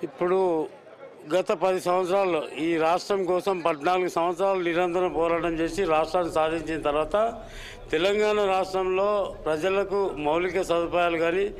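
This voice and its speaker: High-pitched, emphatic male politician. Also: flat delivery, brisk. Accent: Telangana Telugu. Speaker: local legislator. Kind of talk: political interview answers.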